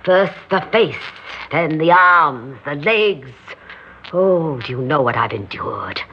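An actress playing a vain, imperious middle-aged society beauty in a theatrical vintage radio drama style, on a dull old recording. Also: female voice, low voice, lively intonation, slow pace, a little hiss or hum. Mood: wistful, dramatic. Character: grand, vain, faded society beauty confined in an asylum. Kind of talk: 1960s radio drama acting.

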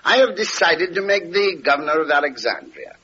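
An actor playing a magnanimous, imperious Roman emperor in a classic radio drama, speaking in a grand, stately manner. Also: male voice, on the high side, sing-song, a little fast, pushed and bright. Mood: grandly generous. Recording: dull, noisy old radio recording.

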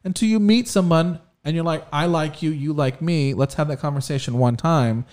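A man's voice: a little high, normal speed, darkish tone. A man on a podcast, giving blunt, profane advice in casual chat. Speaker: podcast co-host.

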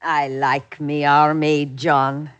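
An actress playing an affectionate, wistful colonial country wife in old-time radio drama, with quaint, theatrical phrasing. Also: female voice, low voice, flat delivery, slow. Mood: fond, reminiscing. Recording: vintage radio drama recording.

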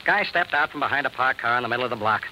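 A casual, reassuring officer played by a man in a vintage radio drama. He talks quickly in a medium-pitched voice over a noisy old recording. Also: animated, close to an everyday voice.

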